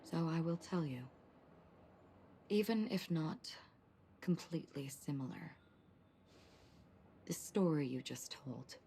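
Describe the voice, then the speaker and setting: An enigmatic, melancholy young female wanderer in a dramatic video game. Her voice is very low, soft and breathy. She speaks with stoic calm, formal and deliberate, over faint background noise. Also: full character voice. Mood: somber, restrained.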